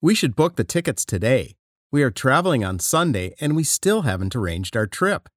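A man performs scripted everyday conversations for a listening exercise. His mid-pitched voice moves around a lot in melody, and his delivery is plain and conversational. The recording is close and dry. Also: sing-song, moderate pace, dry recording. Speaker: listening test voice actor. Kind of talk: scripted dialogue reading.

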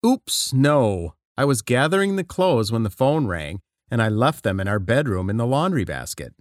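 A man's voice: mid-range voice, sing-song, normal speed, dry recording, clean studio sound. A man reading his part in scripted dialogues for a listening test, in a plain conversational way.

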